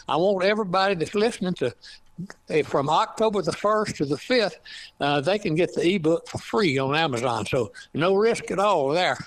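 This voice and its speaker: An elderly man in his eighties speaks with an East Texas drawl and a voice pitched a touch high. He talks slowly and haltingly, full of fillers and restarts, with a frequent chuckle. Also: low-quality recording. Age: 80s. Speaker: guest author. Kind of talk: casual interview chat.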